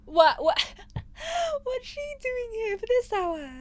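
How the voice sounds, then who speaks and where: A woman's voice: very high voice, big pitch swings, slow pace, full character voice. A woman playing a possessive, smug rich young captor in an ASMR roleplay, teasing and condescending with a sweet menace. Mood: alarmed, stern. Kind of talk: ASMR roleplay audio drama.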